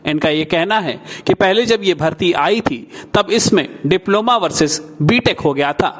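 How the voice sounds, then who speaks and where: A man's voice: sits high, moderate pace, a bit bright. A man explaining background as a news reporter.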